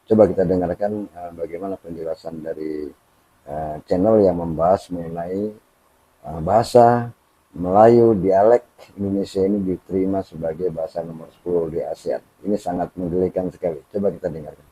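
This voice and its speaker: A man's voice: low-pitched, brisk, dark tone, husky. A man hosting a reaction video, talking through his commentary with many hesitant fillers, on a dull lo-fi recording.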